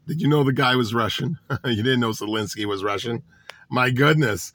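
A man's voice: medium pitch, normal speed, fairly bright tone, dry recording. A man delivering a political monologue to his audience, sarcastic and full of rhetorical questions. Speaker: political commentator.

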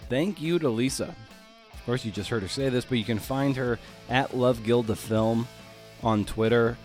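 A man's voice: mid-range voice, a little mellow. A man hosting a podcast in a casual, informal, chatty way. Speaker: podcast host and interviewer.

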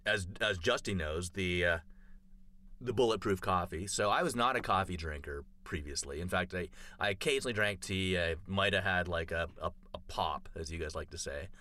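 A man's voice: low-pitched, normal speed, bright, forceful tone. A man chatting casually on a podcast, telling a long story full of fillers and restarts. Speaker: podcast co-host. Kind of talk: casual chat.

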